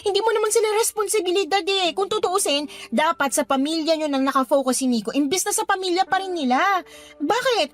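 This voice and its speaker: A woman's voice: very high voice, expressive, fairly quick. A woman playing a blunt, protective cousin in a Tagalog radio drama, indignant and sassy on her friend's behalf. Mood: concerned, probing. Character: indignant, protective female cousin egging on a confidante.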